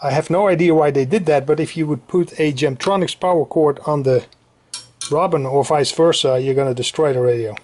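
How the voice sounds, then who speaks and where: A man giving a casual technical show-and-tell as a radio restorer, halting and searching for his words as he explains. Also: on the high side, a little mellow. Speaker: radio restorer presenting a demonstration.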